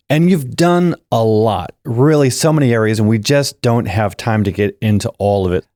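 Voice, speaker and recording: A man hosting a podcast interview, asking steady, prepared-sounding questions. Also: on the low side, no echo, studio quality. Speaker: podcast host.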